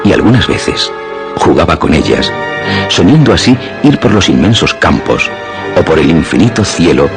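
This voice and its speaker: Male narrator, for suspense radio play: extremely low, measured storytelling over music. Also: very animated.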